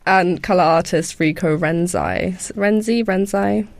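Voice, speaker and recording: Giggly woman, low voice. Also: unhurried, dry recording. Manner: laughing, self-deprecating. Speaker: podcast co-host. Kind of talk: casual chat.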